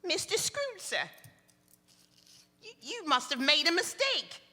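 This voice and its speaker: A woman's voice: very high voice, sing-song, slow, bright, forceful tone, exaggerated voice. A woman playing a timid, simple working-class housekeeper in live radio theatre, hesitant, plaintive and deferential. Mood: baffled. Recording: reverberant hall, live stage performance.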